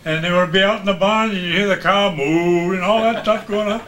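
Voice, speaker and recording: A high-voiced American man reminisces as a guest. His delivery is casual storytelling, recorded with faint noise behind him. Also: brisk, fairly bright tone. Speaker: veteran broadcaster, guest.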